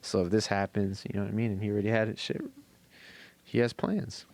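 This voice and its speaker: Low-pitched young man, casual talk. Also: level tone, fairly quick, gravelly, darkish tone. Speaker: podcast co-host. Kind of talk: casual chat, slangy.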